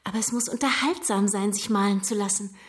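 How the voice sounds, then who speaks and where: A woman's voice: medium pitch, fairly quick, slightly rough, natural voice. An actress playing a restless, guarded young woman in a radio drama, earnest, probing and direct in her speech. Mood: wry, probing.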